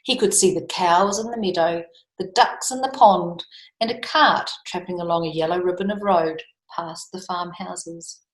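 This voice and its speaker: A woman reading a picture book to children, expressively, drawing out key words and adding chatty asides. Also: on the low side. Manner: emphatic, drawn-out key words, questions to young listeners. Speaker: children's storytime reader. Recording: echoey room.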